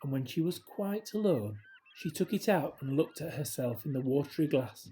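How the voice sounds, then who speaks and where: A man reading a children's story aloud in a gentle, expressive way, with hushed dramatic drops. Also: fairly high pitch, moderate pace, low-quality recording. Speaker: storybook reader. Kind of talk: scripted children's story narration, read aloud.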